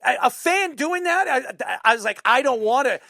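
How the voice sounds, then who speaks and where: A man telling stories in casual chat as a talk show host, excitable, repeating himself and swearing now and then. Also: extremely high pitch, normal speed, creaky, dry recording, clean studio sound. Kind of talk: casual chat, animated storytelling.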